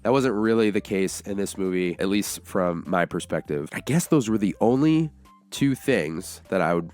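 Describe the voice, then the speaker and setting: A man's voice: low voice, expressive, normal speed, a little mellow. A man co-hosting a casual movie review, talking in a conversational, agreeable way. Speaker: video show co-host.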